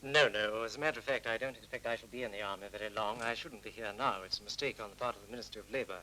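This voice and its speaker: An actor playing a pompous, indignant British recruit who thinks himself above the army, in a 1940s film. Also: male voice, on the low side, racing, pushed and bright, slight background noise, poor audio. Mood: self-important. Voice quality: pompous, huffy.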